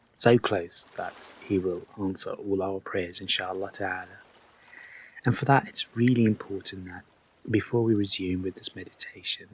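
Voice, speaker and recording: A man leading a guided meditation with soft, breathy delivery, speaking gently and reassuringly to the listener in unhurried instructions. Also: low voice, a little hiss or hum, poor audio.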